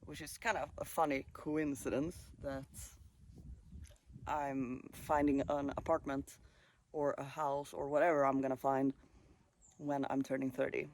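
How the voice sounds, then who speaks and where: A man in his late twenties from Sweden, vlogging casually at a countryside caravan site, talking in a relaxed, musing way with pauses and fillers. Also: medium pitch, slight background noise. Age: late 20s. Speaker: travel vlogger. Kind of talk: casual vlog monologue.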